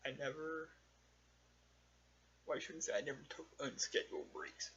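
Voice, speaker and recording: A man's voice: a little high, moderate pace, sharp tone. A man telling a personal story casually and off the cuff, in a frank, rambling way with plenty of swearing. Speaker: storyteller recounting his own work life. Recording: dull lo-fi sound with clear background noise.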